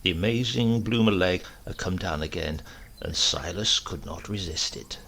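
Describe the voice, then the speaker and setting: A man's voice: low-pitched, moderate pace, gravelly, fairly bright tone, slight background noise. A man narrating a scripted comic tale as an audiobook reader.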